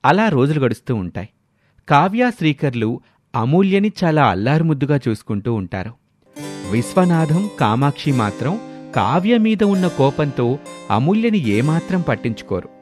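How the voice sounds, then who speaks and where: A man's voice: on the high side, very animated, moderate pace, a little mellow, faint music behind. A man narrating a scripted moral story as a storyteller.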